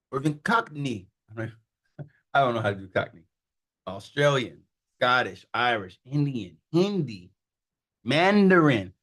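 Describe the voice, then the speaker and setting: A man in a casual conversation, demonstrating software in an animated, amused way and laughing often. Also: lowish voice. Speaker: guest, tech founder. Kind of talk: casual demo talk.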